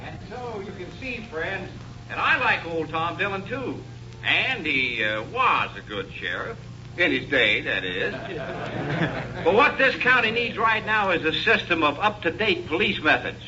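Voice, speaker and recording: An American actor playing a glib young sheriff candidate giving a stump speech in a radio drama. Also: male voice, high voice, lively intonation, unhurried. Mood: smug, hearty. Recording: noisy, dull vintage radio recording.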